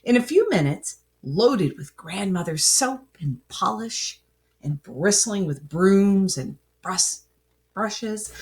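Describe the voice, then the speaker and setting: A woman reading a children's picture book aloud as a storyteller, expressively and with deliberate phrasing. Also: mid-range voice.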